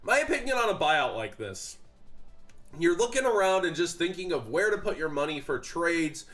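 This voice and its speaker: A man's voice: fairly high pitch, sing-song, moderate pace, a bit bright, faint music behind. A young man with a stuffy, congested voice, talking casually about card prices with fillers and restarts. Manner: chatty, frequent fillers and restarts. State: congested, sniffling. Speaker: card market commentator. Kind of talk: casual commentary.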